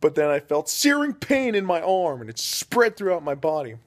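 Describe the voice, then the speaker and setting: Rambling deadpan young male storyteller. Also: on the high side, moderate pace. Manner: rambling, many uh fillers, deadpan delivery. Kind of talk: improvised comedic storytelling.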